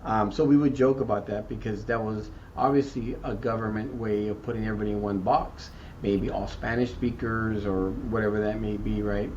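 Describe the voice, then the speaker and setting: A man from South Texas answering interview questions thoughtfully, often repeating words and pausing with "um" as he searches for the right term. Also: lowish voice, fairly even intonation, darkish tone. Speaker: school district equity chief. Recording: muffled, distant, faint background noise.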